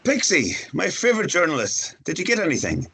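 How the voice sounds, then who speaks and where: A man's voice: a little high, huge pitch swings, brisk, fairly bright tone, slightly rough, heavily acted. A man acting a casual, teasing, frank boyfriend in a screenplay table read, speaking bluntly and familiarly. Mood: upbeat.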